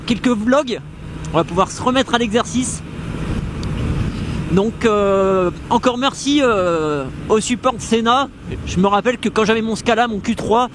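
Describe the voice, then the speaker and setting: High-voiced young man over road noise. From France. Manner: slangy, hesitant, thinking aloud while riding. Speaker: motorcycle vlogger. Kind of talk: casual chat. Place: outdoors on a moving motorcycle. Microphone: helmet intercom microphone. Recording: clear vehicle and wind noise, helmet intercom.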